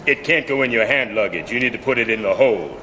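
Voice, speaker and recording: An actor playing a stiff, humorless airport security officer, officious and by-the-book, in a radio sitcom. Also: male voice, mid-range voice, expressive, fast, bright, forceful tone, a little hiss or hum, poor audio.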